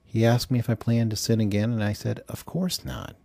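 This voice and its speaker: A man playing a bitter, self-pitying middle-aged FBI agent who dictates confessions in a sardonic, deliberate way, in an audiobook. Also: medium pitch, steady tone, creaky, warm tone, no echo. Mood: confessional. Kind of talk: dramatized audiobook monologue.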